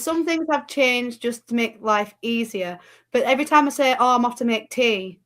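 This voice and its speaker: A woman with a Yorkshire accent, a guest talking casually and quickly, laughing easily. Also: on the high side, fairly bright tone. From Yorkshire, England. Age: adult with children. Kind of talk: casual chat.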